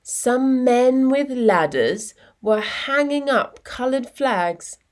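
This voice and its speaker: A woman reading a children's picture book aloud in an expressive, deliberate storytelling manner with dramatic pauses. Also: high-pitched. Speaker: storytime reader.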